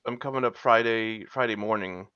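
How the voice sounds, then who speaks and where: A man from Texas joins over a video call, his voice mid-pitched and muffled by dull, lo-fi audio. He talks in an easygoing, halting way, full of fillers. The sound is dry and close. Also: pushed and bright, clear, resonant tone, no room sound. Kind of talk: casual chat.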